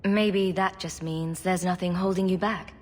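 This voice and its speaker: An actress playing a steadfast, protective mage heroine with a direct, firm way of speaking. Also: female voice, on the low side, steady tone, measured pace. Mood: reassuring.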